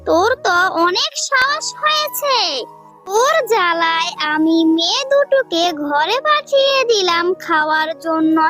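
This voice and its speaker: Cartoon wicked aunt, played by a voice performer: harsh, demanding, piercingly high and bright. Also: full character voice. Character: cruel, nagging aunt ordering an orphan around. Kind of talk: children's cartoon dialogue.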